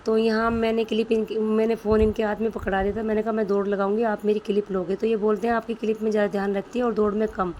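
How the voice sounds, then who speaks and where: A woman's voice: mid-range voice, level tone, fast, few pauses, darkish tone, slight background noise. A woman vlogger with a sore throat, chatting homely and directly to her viewers about her day. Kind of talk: casual home vlog voice-over.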